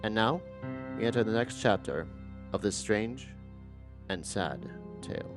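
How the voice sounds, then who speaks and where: A young man giving a formal, measured scripted introduction to a radio drama. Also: on the low side, lively intonation. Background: guitar music.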